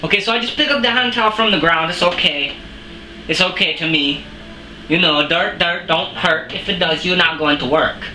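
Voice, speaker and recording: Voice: medium pitch, normal speed, echoey room, noisy background, lightly acted. A comedian doing a bumbling fake French chef. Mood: cocky. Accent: put-on French, drifting to Australian or British. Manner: broken English, crude swearing, rambling. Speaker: cooking show host. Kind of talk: comic cooking demonstration. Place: home kitchen.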